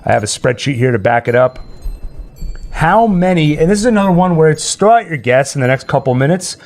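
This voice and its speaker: Guy hosting a livestream trivia game, a bit high voice, really animated, thinks out loud. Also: fairly quick. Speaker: host and trivia quizmaster. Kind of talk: casual livestream chat and quiz hosting.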